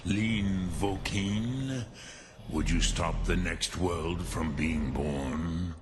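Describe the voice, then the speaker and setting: An actor voicing an ancient, wise male dragon in a fantasy video game, gravelly and ponderous, patient and philosophical, mixing in archaic words. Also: deep voice, very slow, background noise. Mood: pensive, philosophical. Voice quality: gravelly, weighty. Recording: game audio under loud music.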